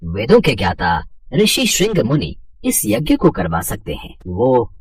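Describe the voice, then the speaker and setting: A man's voice: medium pitch, wildly swooping pitch, a little slow, slightly rough, full character voice. A man playing a solemn, reassuring royal priest and sage, declaiming formally in a mythological story dramatization.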